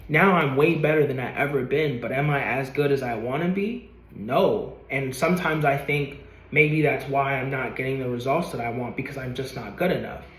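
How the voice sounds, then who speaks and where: A man's voice: mid-range voice, moderate pace, echoey room, rough recording. A man in his late twenties talking to a camera in a motivational vlog, earnest and rambling, swearing casually and repeating himself. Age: 20s. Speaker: vlogger.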